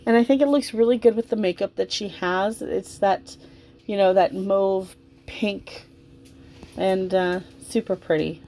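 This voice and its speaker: A woman's voice: medium pitch, measured pace, mellow, a little hiss or hum. A woman giving casual, chatty hobby commentary in an informal, rambling and effusive way. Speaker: doll hobbyist vlogger. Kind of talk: casual, chatty commentary while dressing a doll.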